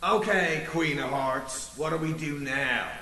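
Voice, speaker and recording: A man playing a suspicious, foul-mouthed backwoods bandit leader in an audio drama, gruff and combative. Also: fairly high pitch, measured pace, bright, forceful tone, reverberant. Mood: grudging, wary.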